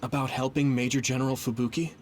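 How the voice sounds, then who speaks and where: An actor playing an earnest, idealistic young platoon commander in an English game dub, with a polite, sincere way of talking. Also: male voice, mid-range voice, little pitch movement, fast talker, a little hiss or hum, natural voice. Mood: concerned. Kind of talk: English-dubbed video game cutscene acting. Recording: music behind the voice.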